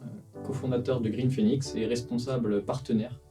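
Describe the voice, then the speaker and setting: A man's voice: mid-range voice, fairly even intonation, normal speed, far from the mic, over music. A man in his twenties from the Vendée, a startup cofounder telling the story of his company in an interview, with many fillers and restarts. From France, Vendée. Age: 20s. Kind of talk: casual interview answers.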